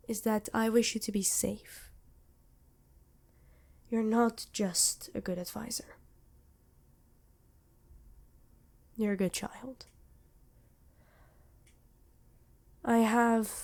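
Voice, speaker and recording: A woman voicing a worried, protective vampire queen in an ASMR roleplay, earnest and measured, with dramatic pauses. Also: mid-range voice, vocal fry, darkish tone. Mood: tender, fearful. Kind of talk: ASMR roleplay acting addressed to listener.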